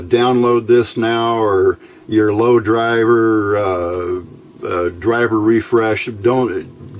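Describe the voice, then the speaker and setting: A man giving an informal, unscripted hands-on tutorial as host, explaining step by step in a halting, plain-spoken way. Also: fairly low pitch. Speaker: host of a DIY electronics tutorial channel. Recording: clearly noisy home recording.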